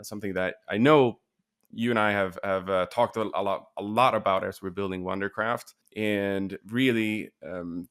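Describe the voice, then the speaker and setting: A man from Sweden with a low, gravelly voice hosts a podcast in a relaxed, unhurried way. His talk is thoughtful and full of small fillers as he leads an interview. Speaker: podcast host. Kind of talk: casual interview.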